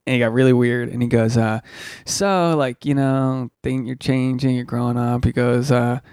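A man in his thirties with a gravelly edge to his middle-pitched voice, hosting a podcast chat. He rambles through crude, animated stories in a dry, close-sounding room. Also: normal speed, no echo. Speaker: podcast host. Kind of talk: casual comedic chat.

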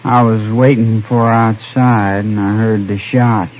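Thin, hissy old radio sound carries a man playing a frightened young American cowboy on the run. He speaks very slowly and lowish, halting but stubbornly insistent. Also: flat delivery, phone-quality sound, lightly acted. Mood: afraid, desperate. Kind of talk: old-time radio western acting.

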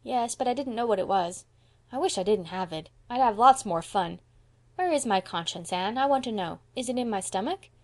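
A small mischievous boy from a storybook , as played by a woman reader, pitched high. He is earnest and blunt, sounding guilty yet emphatic, and talks in childish, ungrammatical bursts. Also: animated, moderate pace. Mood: curious, blunt. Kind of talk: audiobook character voice.

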